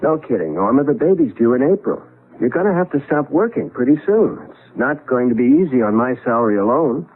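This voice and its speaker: An actor playing a teasing, dryly joking young husband in a 1970s radio drama. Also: male voice, medium pitch, lively intonation, brisk, breathy edge. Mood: teasing, wry. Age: young adult. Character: wry, teasing young husband and expectant father. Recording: muffled phone-like vintage radio recording.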